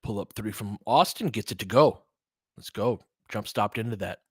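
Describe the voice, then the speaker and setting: A man's voice: on the low side, normal speed, husky, no room sound, studio quality. A man giving casual live basketball commentary as a host, chatting informally with listeners and reacting like a fan.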